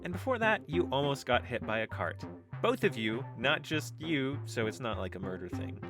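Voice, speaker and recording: An anxious, reasoning member of a space crew, acted for an audio drama, with a mid-pitched, lively voice over music. The delivery is questioning and placating, trying to settle the others. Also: moderate pace, lightly acted.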